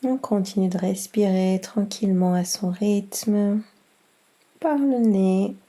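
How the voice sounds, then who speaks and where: A woman guiding a yoga relaxation session as instructor, speaking in a soft, breathy voice with calm, soothing instructions. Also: mid-range voice. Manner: slow, calm, soothing instructions with long pauses. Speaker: yoga nidra instructor and guide. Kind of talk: guided relaxation meditation.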